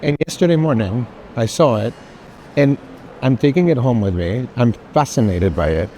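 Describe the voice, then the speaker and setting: A man's voice: medium pitch, animated, normal speed, warm tone. A man speaking casually as an art collector and guest at a fair, effusive and emphatic. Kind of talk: casual chat. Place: art fair, walking tour. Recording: faint noisy fair hall ambience.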